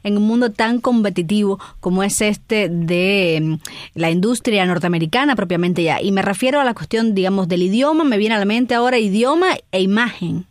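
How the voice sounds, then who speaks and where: A woman's voice: medium pitch, lively intonation, fairly quick, no room sound, clean studio sound. A woman hosting a radio interview, asking polite, long and wordy questions. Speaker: radio host.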